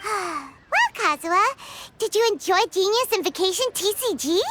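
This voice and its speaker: A woman voicing a tiny, excitable childlike fairy companion in a video game, with a squeaky, exclaiming delivery. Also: normal speed, very noisy. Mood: curious. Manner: exclaiming, refers to self in third person. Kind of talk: video game character acting. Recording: loud music behind.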